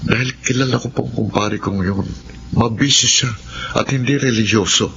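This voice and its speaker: A man's voice: mid-range voice, moderate pace, creaky, a bit bright, lo-fi sound, slight background noise. A man with a Filipino accent playing a doubtful, worried husband, speaking earnestly in a radio drama. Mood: troubled. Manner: earnest, serious.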